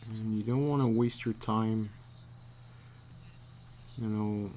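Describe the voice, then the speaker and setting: A man giving a casual drawing tutorial, thinking aloud in a halting, muttering way as he works. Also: on the low side, steady tone, warm tone, lo-fi sound, a little hiss or hum. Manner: halting, muttering to himself while working. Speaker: storyboard artist, tutor.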